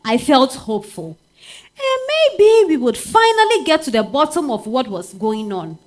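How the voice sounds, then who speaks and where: A woman with a Ghanaian accent playing a bitter, wounded wife in her thirties in a theatrical stage reading. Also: sits high. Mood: tender, narrating. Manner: theatrical, swinging from hushed to outcry. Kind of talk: dramatic stage monologue reading. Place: live stage at a literary festival.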